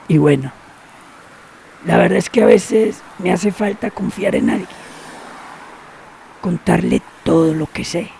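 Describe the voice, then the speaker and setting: An actor playing a secretive, cynical older man in a radio drama, who talks slowly and knowingly and drops to near whispers. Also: high voice, creaky, darkish tone, a little hiss or hum, exaggerated voice. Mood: sly.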